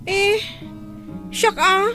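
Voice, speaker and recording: A whiny, work-shy youngster in a radio play. The voice is extremely high and drawn out, evasive, over loud plucked-string music. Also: female voice, steady tone, very slow, full character voice. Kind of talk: radio drama acting.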